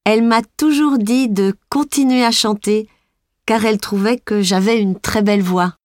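Young woman pop singer played by an actress, higher voice, slow clear speech, dry close mic. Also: darkish tone, dry recording, natural voice. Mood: friendly. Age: young adult. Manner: slow, clear, carefully articulated. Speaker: interviewee. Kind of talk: scripted exam dialogue.

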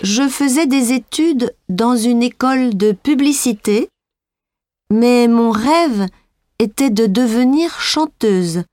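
Young Belgian pop singer, voiced by an actress, fairly high voice, very slow, careful delivery, dry studio sound. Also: female voice, darkish tone, dry recording. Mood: friendly. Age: young adult. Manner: slow, clear, carefully articulated. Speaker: interviewee. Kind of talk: scripted exam dialogue.

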